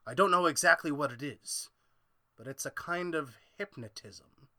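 A man's voice: fairly high pitch, moderate pace, sharp tone, no echo, studio quality. A man playing an obsessed, domineering mad scientist in an old-time radio horror play, with a theatrical, gloating manner. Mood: fascinated.

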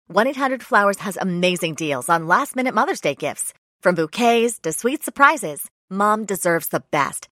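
Lively woman reading an advertisement. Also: mid-range voice, no room sound, clean studio sound. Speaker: advertisement announcer. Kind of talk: scripted ad read.

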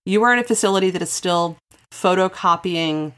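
A woman's voice: on the low side, fairly quick, a bit bright. A woman hosting a conversational podcast interview in an encouraging, affirming way. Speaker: podcast host.

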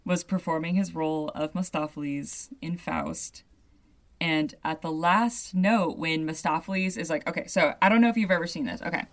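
A young adult woman with a deep voice, hosting a podcast and telling a story in a loose, chatty way full of "like" asides. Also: moderate pace. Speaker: podcast host. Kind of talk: casual storytelling chat.

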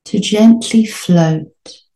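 A woman leading a guided sleep meditation in a soothing, gentle, unhurried manner with long pauses. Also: mid-range voice, echoey room, low-quality recording.

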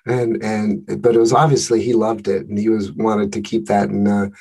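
Husky-voiced male podcast host. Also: fairly low pitch, flat delivery, a little mellow. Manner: measured, reads from a script. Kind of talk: scripted podcast intro and interview questions.

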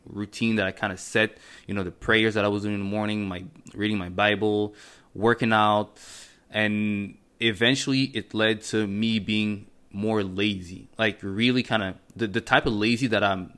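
Young french canadian guy hosting his podcast, lowish voice, earnest and pep-talky, lots of ums. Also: bright, forceful tone, clear, resonant tone. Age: 20s. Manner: earnest, motivational, many fillers and restarts. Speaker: podcast host. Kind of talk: casual solo podcast monologue.

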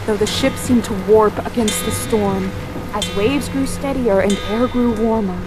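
A woman's voice: medium pitch, a little slow, close to an everyday voice, low-quality recording. A woman playing a reflective seafarer who narrates a whaling tale in a scripted, literary audio drama. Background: music and sea storm ambience.

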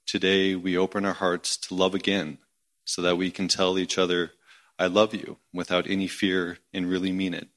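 A man reciting a spoken prayer as its leader, solemn and measured, delivering it phrase by phrase. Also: low-pitched, monotone, a bit bright.

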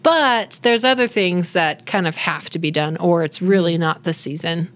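Middle-aged mom type, relaxed rambling delivery with fillers, chatty. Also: female voice, lowish voice, moderate pace. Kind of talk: casual group chat.

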